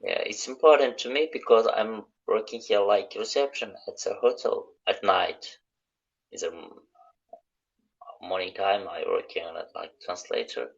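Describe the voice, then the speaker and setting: A man speaks halting English as a second language, pausing often and searching for words. His voice is low and gravelly, and he talks slowly. The audio is dull and lo-fi, as on a remote call. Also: fairly even intonation. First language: not English. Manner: hesitant, halting non-native English. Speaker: live-stream guest, hotel receptionist. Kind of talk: casual live chat.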